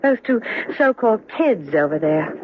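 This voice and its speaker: A woman's voice: mid-range voice, sing-song, normal speed, lightly acted. A woman acting a bitter, cynical young widow in a radio drama, with a curt, sardonic way of talking. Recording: muffled, noisy old radio drama recording.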